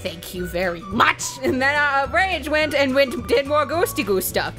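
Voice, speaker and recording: A woman's voice: high voice, animated, unhurried, a bit bright. A woman telling a comedic story of a game session, playful and profane, acting out the quotes as she goes. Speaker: storyteller, let's play host. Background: background music.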